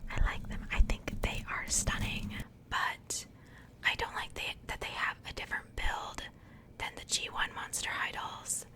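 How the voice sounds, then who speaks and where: A woman's voice: measured pace, pushed and bright. Whispering woman, casual ASMR host. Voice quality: breathy unvoiced whisper. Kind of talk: ASMR, whispered unboxing commentary.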